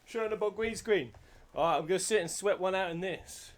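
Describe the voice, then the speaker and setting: A man's voice: high-pitched, fairly quick. A man doing casual live reaction commentary as a game streamer, jokey, sweary and prone to exclaiming.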